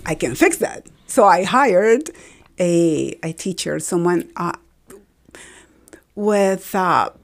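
A middle-aged woman from Colombia with a Colombian Spanish accent, native Spanish speaker, chatting as a podcast guest, telling animated stories and laughing often. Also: fairly low pitch, slow pace, vocal fry, long pauses. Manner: animated storytelling with frequent laughs. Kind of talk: casual chat.

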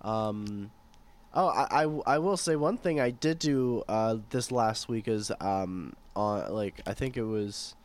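A young man with a hoarse voice from a sore throat, chatting in an easygoing way, laughing often and restarting his sentences. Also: fairly low pitch, slow, darkish tone. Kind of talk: casual chat.

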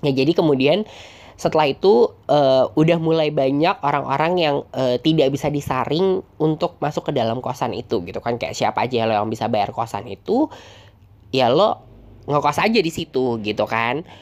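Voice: medium pitch, animated, moderate pace, a little mellow. A young podcast host, nasal and congested from a cold. The delivery is loose and chatty and wanders from point to point, full of hesitations. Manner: rambling, chatty, many hesitations. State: stuffy nose from a cold. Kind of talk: casual solo storytelling.

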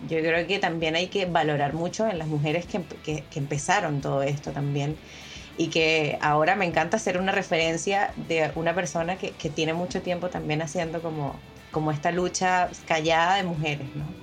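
A young Venezuelan woman talks in a relaxed panel discussion. She often stops and restarts her sentences, feeling her way through each thought. Faint music plays underneath her voice. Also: deep voice, moderate pace. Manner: hesitant, many restarts and fillers. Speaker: panel guest.

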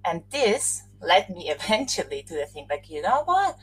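A woman from Austria, a podcast guest, talking in a relaxed, thoughtful way with frequent laughs and restarted sentences. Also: fairly low pitch, normal speed, husky, low-quality recording. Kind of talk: casual interview chat.

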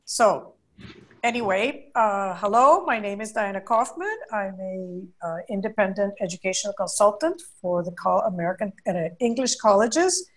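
A woman working as an educational consultant, hosting an interview and chatting in a friendly way, often filling her speech with "you know" and "uh". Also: mid-range voice. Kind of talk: conversational interview.

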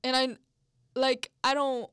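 A woman's voice: high-pitched, level tone, slow, fairly bright tone, clean tone, dry recording, clean studio sound. Candid young woman, casual storyteller. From Mexico. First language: Spanish. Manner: candid, storytelling, lots of fillers. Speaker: podcast co-host. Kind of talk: casual chat.

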